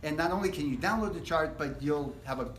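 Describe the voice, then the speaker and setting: A man teaching a casual live guitar workshop, explaining things step by step in a relaxed, rambling way. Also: fairly high pitch, fast talker, a little hiss or hum. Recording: echoey room.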